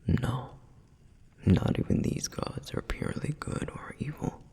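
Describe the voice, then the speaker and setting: A man voicing a protective, reverent flame demon in an ASMR roleplay, speaking in a breathy, husky whisper with measured, gentle explanations and frequent pauses. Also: natural voice. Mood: gentle, explaining. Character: male flame demon, protective, reverent, softly flirtatious lore guide. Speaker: audio roleplay performer. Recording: long reverberant hall echo.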